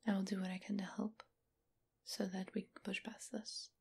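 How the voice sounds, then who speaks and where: A woman playing a teasing, doting, seductive vampire mistress in a whispered, breathy ASMR roleplay. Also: medium pitch, little pitch movement. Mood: gently caring. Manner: slow, teasing, affectionate purr.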